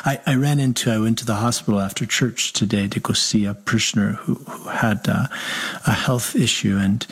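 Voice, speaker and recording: A low-pitched, husky man hosting a radio interview as a parish priest. He speaks gently and conversationally, with easy fillers. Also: fairly even intonation, fairly quick.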